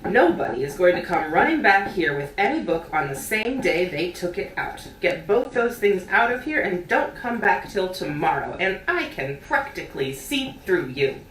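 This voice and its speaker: A woman's voice: on the low side, very animated, normal speed, few pauses, a bit bright, slight background noise. A woman voicing a stern, bossy small-town librarian who scolds, as part of a story read aloud. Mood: scolding. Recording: roomy, distant mic.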